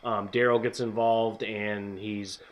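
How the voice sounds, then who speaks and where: A man co-hosting a casual TV review chat, a little nasal and congested from a cold, easygoing and quick to laugh. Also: on the low side, flat delivery, dull audio. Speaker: co-host of a TV review show.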